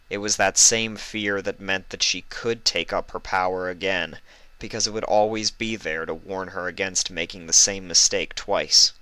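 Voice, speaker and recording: A man reading a fan fiction story aloud as expressive scripted narration. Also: fairly low pitch, smooth, no echo, rough recording.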